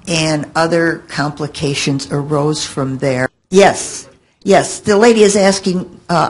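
An older woman answering questions on a panel, haltingly, thinking aloud, into a table microphone in a conference room. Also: low-pitched, husky, rough recording. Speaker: panelist and longtime fan. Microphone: table microphone on stand.

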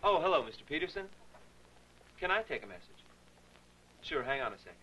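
A young actor playing a polite, eager office worker in a 1980s training film. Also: male voice, on the high side, very animated, brisk, bright, forceful tone, distant mic, a little hiss or hum, poor audio. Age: young adult. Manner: polite, obliging. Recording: 1980s film soundtrack with music.

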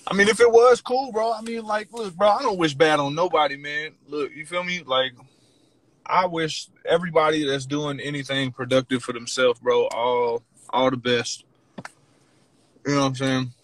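A man's voice: a little high, normal speed, poor audio. A young man hosting a phone livestream from his car, laid-back and slangy. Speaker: livestream host. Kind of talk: casual chat. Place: parked car.

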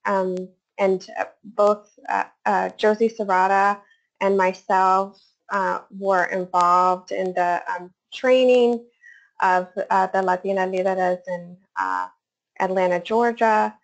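A woman's voice: medium pitch, slow pace. A woman researcher giving a webinar presentation, hesitant, with many fillers and restarts. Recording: dull lo-fi webinar audio.

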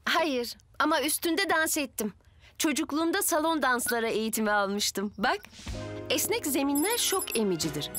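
High-voiced sensible cartoon builder. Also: female voice, big pitch swings, moderate pace, a bit bright, faint music behind. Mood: informative. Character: sensible, knowledgeable female builder. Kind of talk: animated children's show dubbing.

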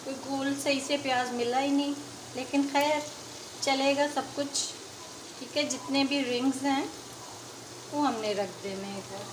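A woman's voice: high-pitched, steady tone, measured pace, background noise. A woman hosting a home cooking video, chatty and explaining each step as she cooks at the stove. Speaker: home cooking channel host. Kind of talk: casual cooking demonstration. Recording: echoey kitchen with loud sizzling.

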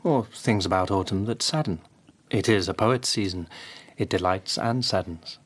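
An actor in a radio drama playing a young, romantic, carefree courtier poet, with a husky voice. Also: male voice, lowish voice, moderate pace. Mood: courting. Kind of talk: BBC radio drama acting.

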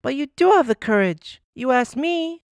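A man voicing a shy, earnest little girl who speaks softly and nervously. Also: very high-pitched, expressive, moderate pace, vocal fry, clear, resonant tone, big-room sound, voice pushed up, full character voice. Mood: gentle. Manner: soft and nervous.

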